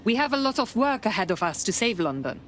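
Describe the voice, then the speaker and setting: A woman's voice: fairly high pitch, sing-song, fast, a bit bright, a little hiss or hum. An actress playing an earnest, determined female resistance member in a video game, insistent and purposeful. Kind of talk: video game cutscene acting.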